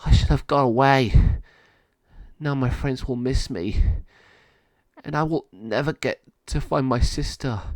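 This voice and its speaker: A good-hearted young stray dog in a storybook, voiced by a man. He speaks in an earnest, plaintive way, gentle and a little vulnerable. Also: mid-range voice, a little slow, dry recording, natural voice. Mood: despairing.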